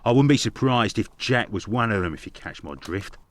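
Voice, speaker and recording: An actor playing a gossipy working-class Victorian barkeeper in a video game, blunt and colloquial, with a London accent. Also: male voice, on the low side, expressive, a little fast, close to an everyday voice. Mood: gossipy, scornful. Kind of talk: video game character dialogue.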